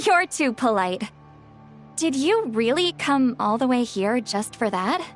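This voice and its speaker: An actress playing a proud, easily flustered young woman in a video game, expressive and quick to sound embarrassed. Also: high voice, soft background music, full character voice. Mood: amused, wry. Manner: expressive, indignant when embarrassed.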